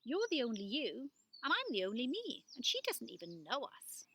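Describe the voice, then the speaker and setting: A woman voicing an earnest young girl in a storybook, with an expressive, emphatic delivery. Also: high-pitched, normal speed, background noise, exaggerated voice. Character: earnest young girl fleeing with her bear. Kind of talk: storybook character voice.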